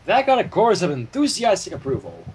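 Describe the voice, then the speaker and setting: Animated male narrator, informal reading. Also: high-pitched, quick pace, slight background noise. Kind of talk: informal fan fiction reading.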